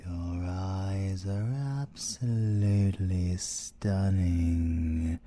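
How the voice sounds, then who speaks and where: A British man voicing a possessive, gloating male siren in an ASMR audio roleplay, theatrical and teasing, with a breathy voice that slips into whispers. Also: low voice, flat delivery, warm tone. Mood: gloating, hypnotic. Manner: theatrical, teasing, slow and deliberate, slipping into whispers.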